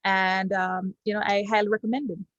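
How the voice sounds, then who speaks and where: A woman's voice: medium pitch, flat delivery, a little fast, no echo, dull audio. Hesitant Jamaican woman, dry sound. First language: Jamaican Patois. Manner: hesitant, many ums and restarts. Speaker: client giving a testimonial. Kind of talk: unscripted testimonial.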